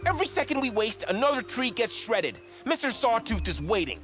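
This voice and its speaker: A woman playing an anxious, whimsical young sidekick in a comedic animated game, fretful and exclamatory. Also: on the high side, fairly quick. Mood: worried. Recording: music behind the voice.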